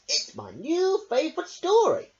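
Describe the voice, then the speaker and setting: A man's voice: very high-pitched, huge pitch swings, a little slow, pitched above the performer's own voice, full character voice. A man voicing a huffy, grumbling fox in a storybook, in a dull lo-fi recording.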